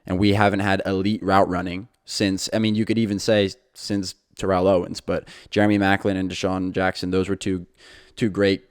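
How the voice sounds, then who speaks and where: A man's voice: deep voice, level tone, moderate pace, clean tone, dry recording, clean studio sound. A young man hosting his own podcast, talking casually and rambling a little, with frequent fillers and restarts. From England. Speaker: podcast host. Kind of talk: casual solo podcast talk.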